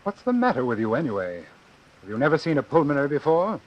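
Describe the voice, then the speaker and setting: An actor playing a coldly calculating, articulate doctor with formal clinical diction in a vintage radio suspense drama. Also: male voice, fairly high pitch, sing-song, quick pace, dull audio. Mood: scornful.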